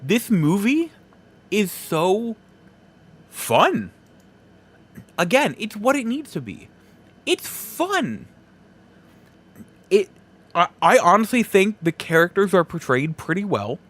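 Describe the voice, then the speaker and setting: A man hosting a podcast, giving casual solo opinions in halting, broken phrases with emphatic stresses. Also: high-pitched, huge pitch swings, fairly bright tone. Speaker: podcast host.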